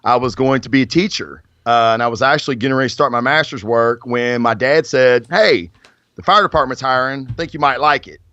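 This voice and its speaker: A man's voice: medium pitch, fairly quick, pushed and bright, no room sound. Forties guy rambling thru stories, dull dry lo-fi sound. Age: 40s. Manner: rambling storytelling with many fillers. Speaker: firefighter guest. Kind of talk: casual podcast chat.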